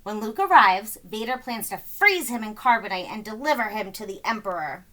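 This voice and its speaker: A woman reading a children's story aloud in an expressive, dramatic way, with deliberate phrasing and stressed words. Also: mid-range voice, slight background noise. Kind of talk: expressive scripted read-aloud narration.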